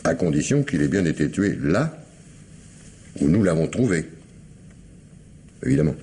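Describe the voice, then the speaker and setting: An actor playing a shrewd, suspicious police commissioner in an old French radio mystery, questioning and musing aloud with pointed pauses. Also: male voice, rumbling low, sing-song, dark tone, slightly rough, full character voice. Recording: noisy old radio recording with ambience.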